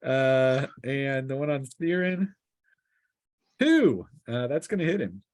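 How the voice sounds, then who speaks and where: A man with an average-pitched voice acts as game master at a tabletop game. He narrates casually and jokes and exclaims as he goes. The sound is dry, with little room in it. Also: big pitch swings, takes it slowly, darkish tone, no echo. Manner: informal, jokey, exclaiming.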